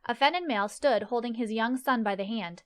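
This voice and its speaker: A woman's voice: a little high, a little fast, clear, resonant tone. A woman reading scripted narration for a fantasy story as its narrator.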